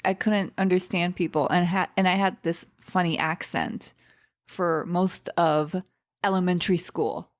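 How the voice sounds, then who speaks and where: A woman's voice: lowish voice, fairly even intonation, measured pace. A woman in her thirties, born in Iran and raised in Seattle, chatting casually as an interview guest, telling stories with fillers and restarts. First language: Persian. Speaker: stand-up comedian, podcast guest.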